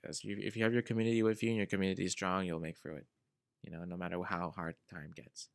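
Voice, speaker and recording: A man's voice: low-pitched, level tone, fast talker, vocal fry, clean tone. A man from Venezuela, a guest in a casual conversation, talking in a laid-back way and giving earnest advice.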